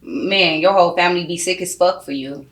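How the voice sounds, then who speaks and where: A woman's voice: lowish voice, brisk, fairly bright tone. A woman interviewing in a casual chat, blunt and emphatic, slangy and swearing freely. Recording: roomy, echoing sound.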